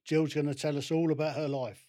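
A man's voice: a little high, level tone, a little fast, warm tone. A male podcast host who reads a scripted introduction and then asks friendly, brief questions, with an occasional light joke.

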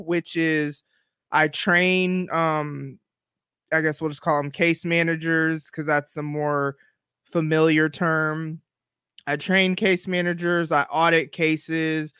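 A woman's voice: sits low, fairly bright tone, clear, resonant tone, dry recording, clean studio sound. A woman in her forties hosting her own podcast, talking in a casual, rambling, encouraging way. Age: 40s. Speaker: podcast host. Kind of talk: casual solo podcast chat.

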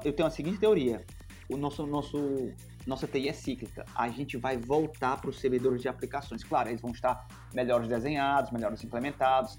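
A man's voice: medium pitch, darkish tone. A man with a Brazilian accent, co-hosting a casual tech podcast and laying out his opinions emphatically. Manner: opinionated, emphatic, quick bursts of listing. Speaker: podcast co-host.

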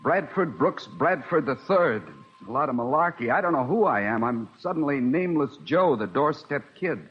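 An actor playing a polite but disillusioned young male college student in 1950s radio drama, earnest, slangy and husky. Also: a little high, expressive, normal speed. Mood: bitter, upset. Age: young adult. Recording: muffled vintage radio recording.

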